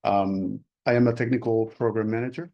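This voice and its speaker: A man from Venezuela, introducing himself on a panel in a relaxed, self-deprecating way. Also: on the low side, fairly quick, muffled recording.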